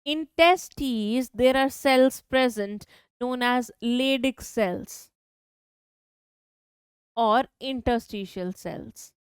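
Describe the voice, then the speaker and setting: High-voiced woman teaching biology. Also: extremely slow, clear, resonant tone, dry recording. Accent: Indian English. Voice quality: high-pitched voice. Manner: asks questions then answers them herself. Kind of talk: online exam-prep lecture.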